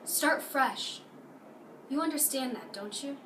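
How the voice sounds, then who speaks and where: A woman's voice: sits high, slow pace. A woman playing a distraught, defensive young mother in an intense, pleading dramatic monologue. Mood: confessional. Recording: roomy, distant mic, loud background noise.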